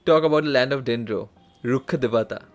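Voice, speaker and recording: A woman's voice: a bass voice, quick pace, faint music behind. A woman game streamer giving casual live commentary, with murmured short reactions and hesitant phrasing.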